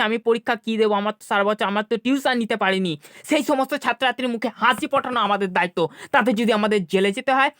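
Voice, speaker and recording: A woman's voice: a little high, a bit bright, no echo, clean studio sound. A woman co-hosting a casual video for students, speaking emphatically and earnestly, often repeating phrases. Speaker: channel co-host.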